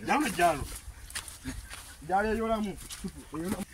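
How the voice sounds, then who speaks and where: A Ugandan man helping at an outdoor cook, making quick remarks from off to the side. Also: high voice. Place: outdoors at a rural homestead. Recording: distant from the mic, outdoors with clear noise.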